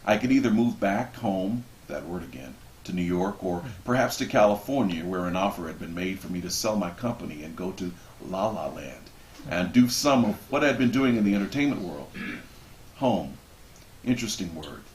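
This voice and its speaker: A man reading a book passage aloud expressively, with measured, deliberate phrasing and dramatic pauses. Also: low voice, lively intonation, darkish tone. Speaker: reader of a book introduction. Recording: roomy echo with background noise.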